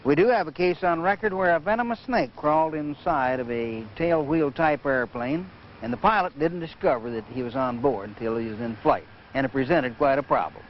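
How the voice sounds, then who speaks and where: A man giving methodical, measured instruction in the manner of a training film, as a flight safety instructor. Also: on the high side. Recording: muffled noisy vintage training film soundtrack.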